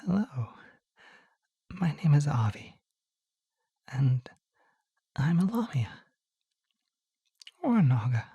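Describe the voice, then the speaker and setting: A woman voicing a gentle, shy young male naga in an ASMR roleplay, speaking in a soft, hesitant, breathy whisper. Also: low voice, sing-song, slow pace, pauses often, dry recording, lo-fi sound, exaggerated voice. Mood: shy, explaining. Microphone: close microphone, dry.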